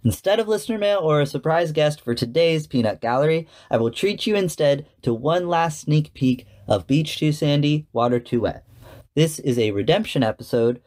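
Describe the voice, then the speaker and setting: A man hosting a podcast, reading scripted commentary in a measured, articulate presenter's manner. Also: on the high side, expressive, darkish tone. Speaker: podcast host.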